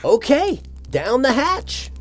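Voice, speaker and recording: An actor playing an eager, fawning sociopathic financial advisor in hell, obsequious and brazenly cheerful, in a comedic audio drama. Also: male voice, very high voice, wildly swooping pitch, slow pace, a bit bright, heavily acted. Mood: fawning, unfazed.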